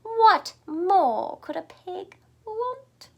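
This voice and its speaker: An earnest little storybook pig, acted out by a woman reading aloud, full of drama and exclamation. The voice is high and swings widely in pitch, dreamy at heart and easily worked up. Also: very animated, very slow, vocal fry, a little mellow, heavily acted. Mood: delighted. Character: earnest, dreamy male pig who turns panicky.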